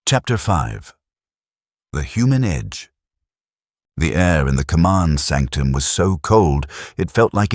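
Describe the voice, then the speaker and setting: A man narrating a science fiction audiobook in a steady, dramatic storytelling manner, close to a studio mic. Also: very deep voice, dry recording. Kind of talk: scripted narration.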